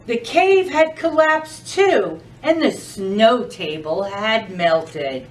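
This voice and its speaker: A woman reads a picture book to children in a fairly high voice. Her intonation swings widely and her delivery is unhurried and expressive, as at a library storytime. The microphone sits some way from her. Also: far from the mic, a little hiss or hum, poor audio. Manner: expressive, addresses children with questions. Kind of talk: children's storybook read-aloud.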